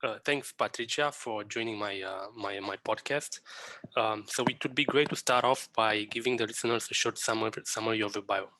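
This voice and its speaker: A man hosting his own podcast, asking questions in a hesitant, halting way with fillers. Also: fairly low pitch, sharp tone, dry recording, soft background music, poor audio. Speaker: podcast host. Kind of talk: podcast interview.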